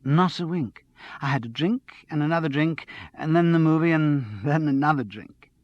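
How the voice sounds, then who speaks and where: A man reading an audiobook, voicing an excitable, slightly tipsy young woman who chatters on without pause. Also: on the high side, no echo, highs cut off, pitched above the performer's own voice, natural voice. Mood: giddy. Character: giddy, tipsy young woman studio manager.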